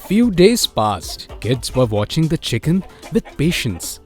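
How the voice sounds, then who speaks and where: A man's voice: fairly high pitch, big pitch swings, measured pace, faint music behind. A man narrating a scripted children's story.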